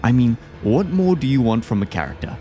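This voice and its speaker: A man's voice: medium pitch, sing-song, brisk, warm tone. A man giving enthusiastic, scripted video essay commentary, ranking game bosses. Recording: game music behind the voice. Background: music.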